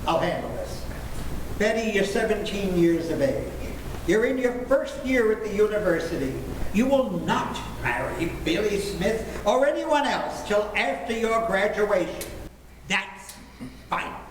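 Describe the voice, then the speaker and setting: A man's voice: high voice, very animated, unhurried. An actor playing an exasperated, sarcastic father in an old-time radio comedy. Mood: exasperated, stern. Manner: theatrical, dryly sarcastic. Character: exasperated 1950s sitcom father, sarcastic and blustering. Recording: noisy, echoey live stage recording.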